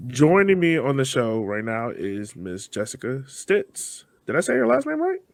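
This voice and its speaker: A man hosts a casual podcast interview with loose, slangy phrasing. His voice sits at an ordinary male pitch, and the sound is dry with very little room. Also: wildly swooping pitch, moderate pace, vocal fry, dry recording. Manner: informal, slangy. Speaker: podcast host.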